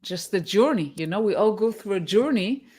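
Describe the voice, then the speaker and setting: A middle-aged woman from Israel, a guest on a video-call interview, speaking thoughtfully with many pauses and fillers. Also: medium pitch, expressive, a little mellow, husky. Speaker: guest, tech mentor.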